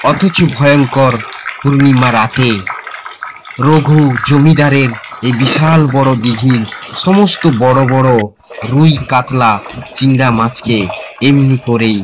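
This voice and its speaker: A man's voice: mid-range voice, fairly even intonation, slow, pauses often, background noise. A man giving scripted narration for a ghost story cartoon.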